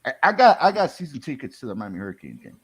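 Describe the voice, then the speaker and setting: Male commenter, medium pitch with wide swings, bemused and repetitive, casual conversational reactions. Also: wildly swooping pitch, racing. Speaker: co-host or guest commenter. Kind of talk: casual chat reacting to a video.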